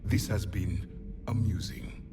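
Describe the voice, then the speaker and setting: A man's voice: sits low, big pitch swings, slow pace, vocal fry, full character voice. An actor playing an ancient male alien warrior in a video game, speaking in an imperious, disdainful and formal way. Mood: scornful amusement. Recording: loud music behind the voice.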